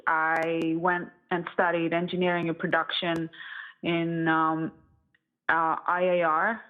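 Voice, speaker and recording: A woman's voice: on the low side, monotone, slow pace. A woman in her thirties from Estonia, talking casually and conversationally as an interview guest who produces music. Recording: thin, phone-like band-limited audio.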